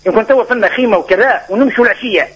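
A man in his forties with a Tunisian accent, calling in to a radio show, lively and insistent and quick to laugh. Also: high voice, slightly rough, low-quality recording. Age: 40s. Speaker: radio caller. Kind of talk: phone-in chat. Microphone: telephone.

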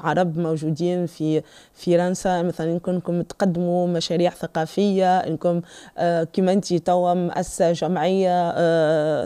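A Tunisian woman interviewing a guest, asking quick questions and nodding along with short encouraging replies. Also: on the low side, mellow, smooth. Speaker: interviewer host. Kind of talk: casual interview.

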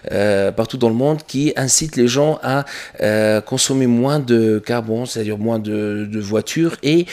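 A man from Tunisia, an Arabic speaker, answering as a tour guide guest in a radio studio, earnest and hesitant with many pauses and restarts. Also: mid-range voice, darkish tone.

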